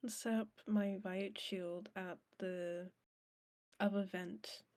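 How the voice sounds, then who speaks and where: Slow-talking woman playing a tabletop game. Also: medium pitch, little pitch movement, darkish tone, no echo. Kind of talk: casual chat during game play.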